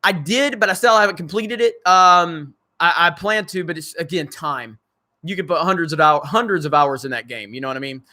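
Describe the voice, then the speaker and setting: A man's voice: on the high side, a little fast, pushed and bright. A man with a crackly voice hosting a casual livestream, reading viewer comments and answering in a blunt, joking way with some swearing. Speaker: channel host.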